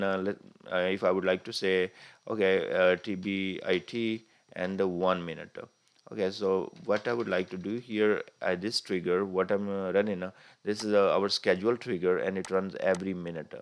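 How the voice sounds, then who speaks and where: Male tutorial narrator with a low voice, explains each click plainly and fills pauses with "uh". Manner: frequent "uh" fillers, step-by-step explaining. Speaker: tutorial instructor. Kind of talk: screen-recorded software tutorial. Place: voice-over recorded over the screen capture.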